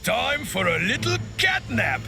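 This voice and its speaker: An actor playing a theatrical, taunting villain in a video game. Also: male voice, very high voice, sing-song, unhurried, creaky, over loud music, full character voice. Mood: mocking. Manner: mocking, theatrical. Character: gleeful taunting male villain.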